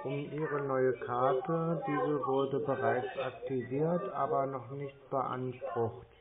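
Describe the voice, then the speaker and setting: A man's voice: medium pitch, fairly even intonation, slow, phone-quality sound, loud music behind the voice. A man in casual phone talk with a laconic, muttering way of speaking. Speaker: scam-baiter posing as a customer on a phone call.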